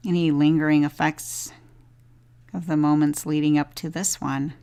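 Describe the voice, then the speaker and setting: A woman leading a guided body scan meditation as a teacher, giving gentle, unhurried instructions with long pauses. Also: low-pitched, little pitch movement, clear, resonant tone.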